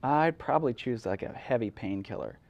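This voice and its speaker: A man's voice: mid-range voice, expressive, brisk, vocal fry, a little mellow, no room sound, muffled recording. A man co-hosting a show in casual, joking conversation. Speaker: podcast co-host. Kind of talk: casual comic chat.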